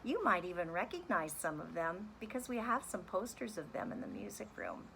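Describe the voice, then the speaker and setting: A woman speaking as a music teacher, reading a children's story and explaining it in an animated, deliberate, instructive way. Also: on the low side, gravelly, a little hiss or hum. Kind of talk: expressive children's read-aloud and lesson.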